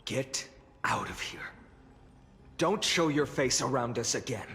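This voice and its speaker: An actor playing a righteous, sternly protective young warrior lord in a fantasy game, speaking in an earnest, formal, firm manner. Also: male voice, mid-range voice, a little slow, bright, forceful tone, long reverb tail. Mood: stern warning. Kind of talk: dramatic fantasy game voice acting.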